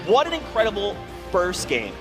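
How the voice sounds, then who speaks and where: A young man doing live play-by-play commentary on a headset mic, excitable and rising to shouts, his voice going hoarse. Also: high-pitched, normal speed, over music. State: losing his voice. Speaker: esports caster. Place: large arena stage with live audience.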